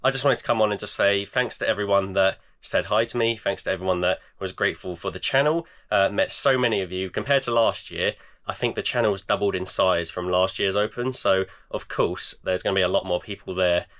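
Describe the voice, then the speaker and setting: Croaky man, deep voice, bright sharp tone, tired rambling chat, thirties. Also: fairly quick. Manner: tired, rambling with many fillers. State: croaky, voice almost gone. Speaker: eBay reseller vlogger. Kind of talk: casual vlog chat. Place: home garage.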